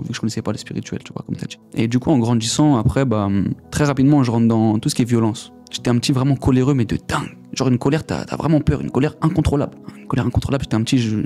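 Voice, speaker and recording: A man's voice: mid-range voice, mellow. A young man in his twenties from the Paris region, giving a casual personal testimony in a slangy way, often repeating his words. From Paris region, France. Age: 20s.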